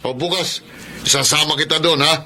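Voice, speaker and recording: A man playing an abusive husband in a radio drama, talking gruffly and scornfully. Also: on the high side, steady tone, brisk, background noise, natural voice. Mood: domineering.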